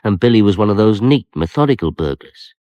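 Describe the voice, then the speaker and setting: A man's voice: fairly low pitch, lively intonation, brisk, dark tone, no room sound, dull audio, lightly acted. A man playing a wry, self-doubting police detective, confiding in a radio crime drama. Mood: brooding, wry. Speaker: narrator and lead detective character. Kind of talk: radio crime drama, first-person narration and dialogue.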